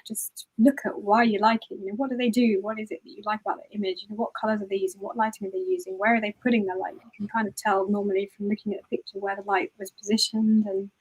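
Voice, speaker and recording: A fast-talking woman with a breathy, slightly husky voice. She talks in a loose and chatty way and often says "you know." She sounds like a guest on a video call. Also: medium pitch, a little mellow, low-quality recording. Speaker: guest photographer. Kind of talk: casual interview answers.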